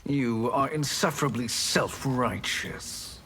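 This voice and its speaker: Slow, scheming sorcerer villain. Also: male voice, mid-range voice, sharp tone, a little hiss or hum. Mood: smug, scheming. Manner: smug, silky, theatrical. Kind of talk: video game fight dialogue.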